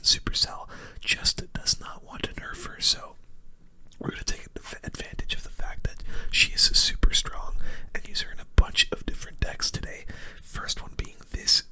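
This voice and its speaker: A video game streamer giving casual, slangy gameplay commentary in a soft, unvoiced ASMR whisper.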